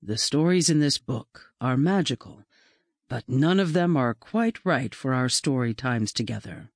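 A narrator voicing a gentle, mysterious male librarian who speaks softly and reverently, with measured dramatic pauses, in a storybook reading. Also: medium pitch, lively intonation, no room sound, studio quality, pitched below the performer's own voice.

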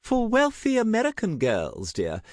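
A theatrical older aristocratic lady, played by a male narrator, delights in gossip for an audiobook. The voice sits high and leaps around in pitch, gushing and emphatic, in a very dry recording. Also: huge pitch swings, measured pace, no echo, exaggerated voice. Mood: teasing, knowing. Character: lively, dramatic, gossip-loving older aristocratic aunt. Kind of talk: audiobook character acting.

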